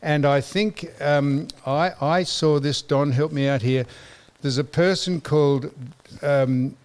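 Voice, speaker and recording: A man's voice: on the high side, slow pace, a little mellow. A man hosting a podcast, asking blunt questions with many restarts and the odd joke. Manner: blunt questions, stumbling restarts, tells jokes. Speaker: podcast host. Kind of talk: casual chat.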